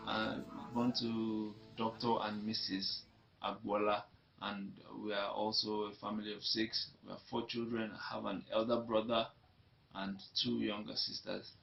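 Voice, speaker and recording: A man's voice: fairly low pitch, flat delivery, a little slow, gravelly, a bit bright, soft background music. A young Nigerian man with a Nigerian accent, telling his life story in an interview in a reflective way that often repeats itself. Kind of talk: personal testimony. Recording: dull lo-fi recording.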